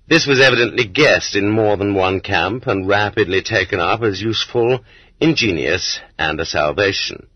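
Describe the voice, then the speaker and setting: A man narrating a literary nonfiction audiobook in a measured, rhetorical way, leaning on the ends of phrases. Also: deep voice, breathy edge, dry recording. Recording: dull, lo-fi cassette audiobook transfer.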